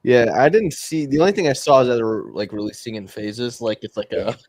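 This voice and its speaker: A man's voice: medium pitch, brisk, darkish tone. A man co-hosting a casual sports livestream, talking with hesitant stops and fillers. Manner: hesitant, many fillers and restarts. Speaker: podcast co-host. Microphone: livestream mic.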